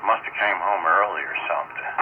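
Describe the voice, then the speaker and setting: Male radio hobbyist, conversational and folksy, narrow-band sound with clear static. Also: deep voice, a little fast. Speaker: amateur radio operator. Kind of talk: casual radio round-table chat. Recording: tinny, noisy shortwave radio transmission.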